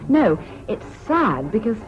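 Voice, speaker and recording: Lively, frank young British woman. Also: on the high side, takes it slowly, music in the background. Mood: wistful, candid. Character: frank young left-wing woman, bossy, affectionate, self-deprecating. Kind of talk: BBC radio drama acting. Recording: dull lo-fi old radio broadcast, hissy.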